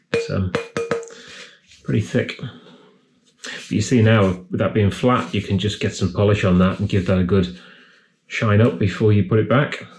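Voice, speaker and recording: A man giving a casual, matter-of-fact repair tutorial, explaining each step as he works. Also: fairly low pitch. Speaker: repair video host. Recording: clear background noise, handling clicks.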